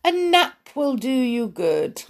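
A woman voicing a diminutive male king who speaks soothingly and coaxingly to his wife, with a slightly breathy voice. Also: high voice, big pitch swings, slow pace, pitched above the performer's own voice, full character voice. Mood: mock-innocent. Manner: sweet, coaxing.

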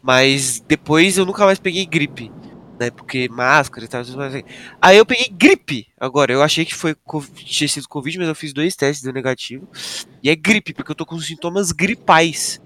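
A young Brazilian man chatting casually, his voice nasal as he gets over a flu, telling his story in slow, drawn-out phrases. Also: fairly high pitch, animated, fairly bright tone. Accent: Brazilian Portuguese. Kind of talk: casual chat.

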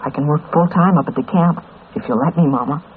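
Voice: mid-range voice, quick pace, close to an everyday voice. An actor playing an earnest, protective young teenage boy with plain folksy speech in an old radio drama. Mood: worried, earnest. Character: responsible eldest boy, young teen, protective of family. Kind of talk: 1940s radio drama acting. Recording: tinny, noisy old radio recording.

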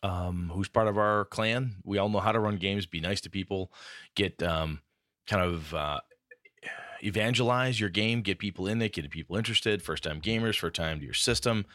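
A man co-hosting a gaming podcast in casual chat, telling animated stories and acting out what others said. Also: sits low, moderate pace, dry recording, studio quality. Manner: animated storyteller acting out quotes. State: a bit groggy. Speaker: podcast co-host.

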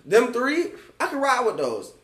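A man from the American South co-hosting a podcast, talking in an animated, opinionated and profane way. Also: very high voice, moderate pace, roomy sound. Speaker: podcast co-host. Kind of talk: casual chat.

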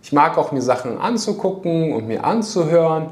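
A man hosting a podcast in casual conversation, talking quickly with many restarts and laughing things off. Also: on the high side, sing-song, a little hiss or hum. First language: Russian. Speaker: podcast host. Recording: echoey room.

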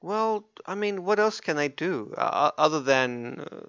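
A man's voice: a little high, lively intonation, a little slow, a bit bright, clean tone, no echo, muffled recording. A man in a casual podcast discussion of games and films, hesitant and repeating words as he works through a thought. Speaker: podcast co-host.

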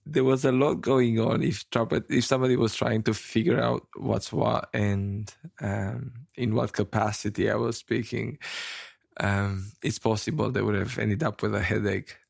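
A man from Romania co-hosting a casual chat, with a rough voice today, talking haltingly with fillers and a self-deprecating streak. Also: lowish voice, steady tone, darkish tone, no room sound, clean studio sound. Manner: halting, many fillers and restarts, laughs often. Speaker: podcast co-host.

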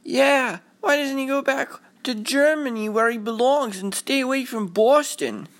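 A man's voice: very high-pitched, unhurried, fairly bright tone, pitched above the performer's own voice. A man voicing a childish neighborhood bully in a children's story, jeering and taunting. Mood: mocking. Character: mocking neighborhood boys, childish bullies jeering at another child.

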